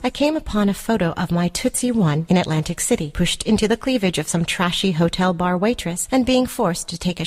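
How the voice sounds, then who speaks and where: A woman's voice: on the low side, a little mellow, no room sound. Prim, controlling spurned girlfriend, dry close sound. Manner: prim, clinical, precisely worded, condescending. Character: controlling, self-righteous spurned girlfriend dictating a formal letter. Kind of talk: dramatic short-story reading for radio. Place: radio studio.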